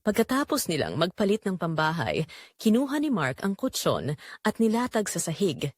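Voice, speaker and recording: A woman's voice: lowish voice, wildly swooping pitch, moderate pace, no room sound, clean studio sound. A woman with a Filipino accent reading a scripted dramatic story as the narrator of an audio drama.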